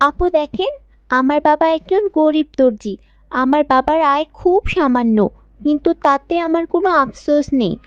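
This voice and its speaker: A woman plays a poor, humble little cartoon girl. Her voice is high and polite, with no room echo, and she delivers scripted moral dialogue meekly. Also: moderate pace, lo-fi sound, lightly acted. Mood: hurt. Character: poor tailor's young daughter, humble and long-suffering.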